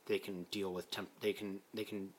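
A man's voice: deep voice, little pitch movement, normal speed, slight background noise. A man in his twenties co-hosting a podcast, chatting casually and rambling with lots of "like". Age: 20s. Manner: laid-back, rambling with frequent "like". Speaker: podcast co-host. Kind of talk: casual chat.

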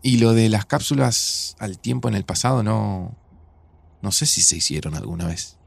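Gravelly, grieving Argentine man. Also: lowish voice, expressive, moderate pace, no echo, exaggerated voice. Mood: resigned. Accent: Rioplatense Argentine. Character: grieving, resigned widower in a near-future Argentina. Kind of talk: naturalistic audio drama dialogue.

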